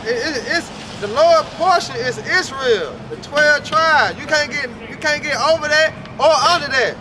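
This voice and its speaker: A man's voice: very high voice, unhurried. A man street preaching outdoors in an emphatic, call-and-response manner. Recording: outdoor street recording with traffic and crowd.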